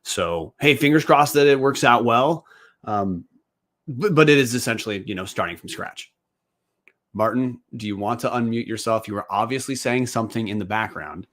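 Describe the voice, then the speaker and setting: A man's voice: medium pitch, normal speed, fairly bright tone. A man co-hosting a casual sports podcast, teasing his co-hosts and rambling as he talks. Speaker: podcast co-host.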